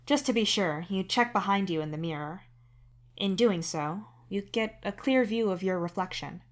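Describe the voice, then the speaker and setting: A woman's voice: medium pitch, moderate pace. A woman reading scripted second-person story narration as the narrator of a fiction podcast. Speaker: podfic narrator and author.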